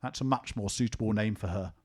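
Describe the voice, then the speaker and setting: A man's voice: on the low side, fairly quick, a little mellow, close to an everyday voice. A man voicing a stubborn, sulky little girl who speaks sharply and scornfully. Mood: scornful, defiant.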